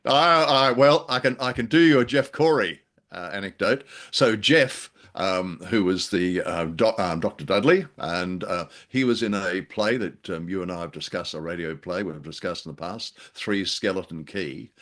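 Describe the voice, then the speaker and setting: Low-pitched man chatting casually. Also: normal speed, few pauses, a bit bright. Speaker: podcast co-host. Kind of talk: casual chat about old radio drama.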